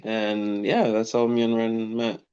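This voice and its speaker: Man in his forties, from Canada, easygoing, slow and smooth, lo-fi stream audio. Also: on the low side, flat delivery, a little mellow. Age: 40s. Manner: easygoing, joking. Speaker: guest. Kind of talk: casual chat.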